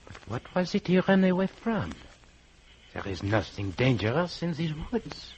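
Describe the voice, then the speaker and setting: An earnest French-accented backwoods guide, speaks formally with a very mobile, medium pitch. The vintage radio drama recording has light noise. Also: male voice, big pitch swings, a little slow, lo-fi sound. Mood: grave. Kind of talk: old-time radio drama acting.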